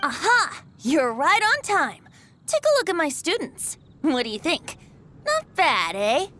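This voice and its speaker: A woman's voice: high-pitched, big pitch swings, a little slow, bright, forceful tone, exaggerated voice. A woman voicing a cheeky, boyish bard in a video game, theatrical, flowery and playfully smug.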